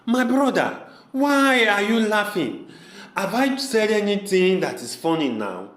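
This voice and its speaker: A man's voice: high voice, sing-song, measured pace, a bit bright, reverberant, exaggerated voice. A man in an amateur audio drama playing an earnest, troubled brother who speaks in an emphatic, repetitive, pleading way. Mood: puzzled, insistent.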